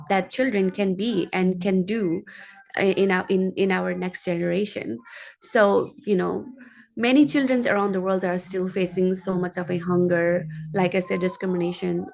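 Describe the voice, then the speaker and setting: Creaky-voiced Nepali-accented woman giving a speech. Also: mid-range voice. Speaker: guest speaker. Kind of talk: personal advocacy speech.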